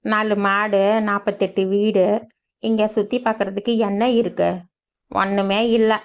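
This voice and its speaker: A woman's voice: mid-range voice, flat delivery, unhurried, clean tone, lightly acted. A woman voicing a lazy, cheeky young village man in a cartoon, with a grumbling, sarcastic manner. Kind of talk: cartoon voice acting.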